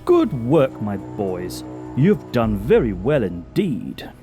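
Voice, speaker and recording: A man's voice: mid-range voice, wildly swooping pitch, slow, music in the background. A man playing an alien villain who poses as a brusque American police detective in an audio drama, smug and theatrical. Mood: smug, menacing.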